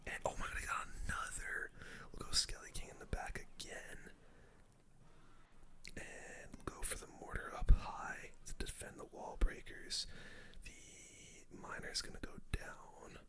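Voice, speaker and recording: A person whispering casual, slangy play-by-play as an ASMR gaming host, in a breathy unvoiced whisper close to the microphone. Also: a little slow, bright, forceful tone. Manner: casual slangy play-by-play, muttering through moves.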